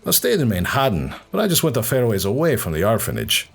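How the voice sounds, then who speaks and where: A man playing a surly, foul-mouthed drunken brawler with an Irish accent and a gruff, sardonic, husky delivery in a fan fiction audio drama. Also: mid-range voice, huge pitch swings, quick pace, exaggerated voice. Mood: guarded, bitter. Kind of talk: fan fiction audio reading, character acting.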